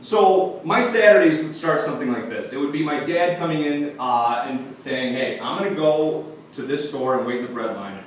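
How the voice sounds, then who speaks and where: Man, live storyteller, halting with fillers, very echoey, distant mic. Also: fairly high pitch, lively intonation. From Romania. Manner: loose, halting, frequent uh's. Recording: echoey room mic, far off, faint noise.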